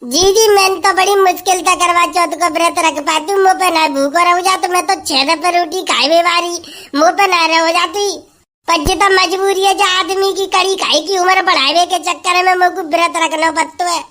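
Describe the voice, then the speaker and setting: A woman's voice: extremely high pitch, steady tone, moderate pace, a bit bright. A woman voicing a hungry, fed-up village wife who grumbles and scolds in a comic sketch. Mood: complaining. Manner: complaining, scolding, rustic chatter.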